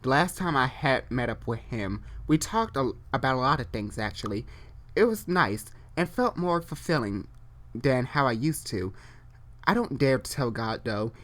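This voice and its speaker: Informal storyteller, halting live read-aloud. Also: medium pitch, animated. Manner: halting, repeats and corrects phrases while reading; informal, chatty asides. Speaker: online storyteller. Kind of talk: dramatic reading of amateur fan fiction with off-script asides.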